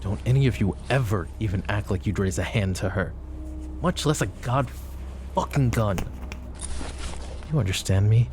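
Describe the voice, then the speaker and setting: A man playing a suave, possessive mafia boss in an intimate roleplay, speaking with mock courtesy and a teasing drawl in a low, gravelly voice. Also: normal speed, pauses often, noisy background, lightly acted. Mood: angry, commanding. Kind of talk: roleplay ASMR acting, intimate address to listener.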